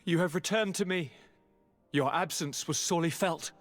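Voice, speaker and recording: An actor playing an eager young aristocratic governor, effusive and fond, with courtly, theatrical delivery in a video game cutscene. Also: male voice, on the high side, moderate pace, lightly acted. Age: young adult.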